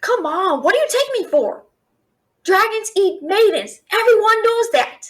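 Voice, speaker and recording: A woman playing a feisty young thief and alchemist held captive by a dragon, expressive and sassy in a one-sided fantasy audio roleplay. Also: very high-pitched, unhurried, exaggerated voice. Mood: incredulous, skeptical. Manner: expressive, incredulous, sassy, questioning.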